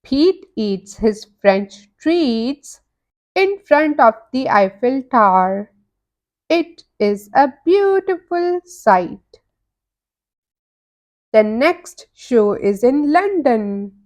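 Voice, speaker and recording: A woman narrating a children's storybook in an expressive, deliberate way for young listeners. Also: high-pitched. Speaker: children's read-aloud narrator.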